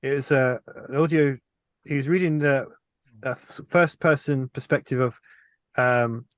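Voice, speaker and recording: A man in his thirties with a medium-pitched voice joins a casual panel discussion. He explains things haltingly, feeling for words, on a dry headset recording. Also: no room sound. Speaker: film review panelist. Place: indoors on a video call.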